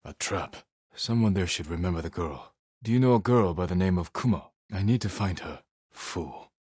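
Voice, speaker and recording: A stern, honorable young samurai warrior from a dramatic video game. His voice is deep and husky, close and dry. He speaks in terse, measured lines with restrained, weary resolve. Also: lively intonation, darkish tone, no room sound. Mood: low, wary. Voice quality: low, husky voice.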